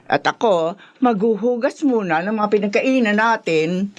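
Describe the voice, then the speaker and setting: A woman's voice: medium pitch, moderate pace, close to an everyday voice. An actress playing a bubbly, doting, boastful middle-aged mother in a Filipino radio drama, chatty and teasing, with a Filipino accent. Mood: teasing, huffy.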